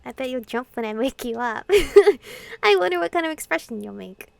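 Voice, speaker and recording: A woman's voice: a little high, expressive, brisk, gravelly, no echo. A young adult woman playing a visual novel, reading dialogue and narration aloud expressively with casual, giggly reactions. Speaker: gaming content creator. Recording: game music behind the voice.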